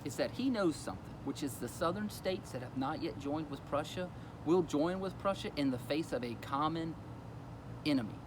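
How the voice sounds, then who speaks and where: A man's voice: medium pitch, background noise. A man explaining a history lesson in a casual, conversational way, with fillers as he goes. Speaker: teacher.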